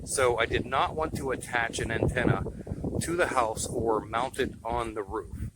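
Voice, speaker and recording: A man's voice: mid-range voice, measured pace. American man, noisy amateur recording. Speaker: hobbyist video host. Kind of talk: casual show-and-tell narration.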